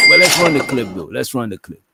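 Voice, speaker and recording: A man's voice: medium pitch, heavy background noise. A man hosting a casual reaction commentary, swearing freely, laughing often and repeating "you know what I'm saying". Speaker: commentary show host.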